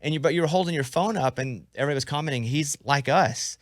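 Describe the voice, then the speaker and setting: A man's voice: a little high, fast, no echo. American male radio host, chatty and joking, dry close-miked studio interview. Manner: joking, talkative. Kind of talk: casual celebrity interview. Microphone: close studio microphone.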